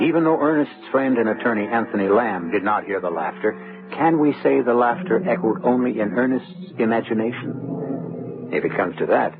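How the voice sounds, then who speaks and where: Old tinny radio, guy hosting a spooky drama, low ominous theatrical narration. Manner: ominous, theatrical, deliberate phrasing. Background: music.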